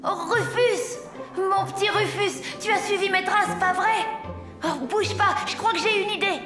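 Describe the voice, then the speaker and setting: A woman's voice: very high voice, a little slow. A woman voicing an impatient, curious, headstrong young boy in a children's cartoon. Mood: excited, playful. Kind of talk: French children's cartoon dubbing. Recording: music behind the voice.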